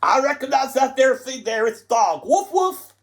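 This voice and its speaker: A woman's voice: high voice, very animated, normal speed, pitched above the performer's own voice. A woman voicing a cheery rustic male scarecrow who has become a little tree, with a broad country dialect and boisterous, chirpy delivery. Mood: cheeky.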